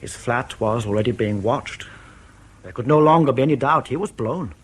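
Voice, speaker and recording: A man plays an East German defector in a radio drama. His voice is mid-pitched and slightly husky. He is reflective and guarded, setting out his account with deliberate care. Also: close to an everyday voice. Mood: uneasy, recounting. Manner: measured, deliberate, ruminative.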